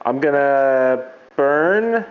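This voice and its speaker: Slow, halting man with a dull sound. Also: mid-range voice, expressive. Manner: hesitant, halting. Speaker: player. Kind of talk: playing a tabletop game.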